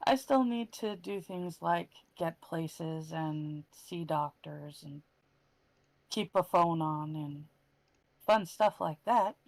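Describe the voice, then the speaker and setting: A woman's voice: deep voice, takes it slowly, no room sound, rough recording. A woman speaking casually and earnestly as a panel member.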